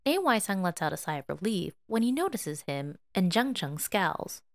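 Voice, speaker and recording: Expressive woman narrator with very animated pitch. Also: moderate pace, no echo. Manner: expressive, emotive storytelling. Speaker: fan fiction audiobook reader. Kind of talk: dramatic scripted narration.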